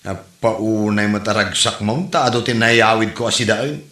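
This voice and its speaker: A man's voice: on the low side, fairly even intonation, normal speed, bright, forceful tone. A man with an Ilocano accent playing a hapless, greedy, defensive grown son in a comedy radio drama. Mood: whiny complaining. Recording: roomy echo, faint music.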